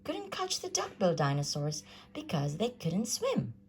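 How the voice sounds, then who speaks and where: A woman reading a rhyming children's story aloud in a theatrical, sing-song, expressive way. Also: lowish voice, moderate pace. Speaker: storybook reader.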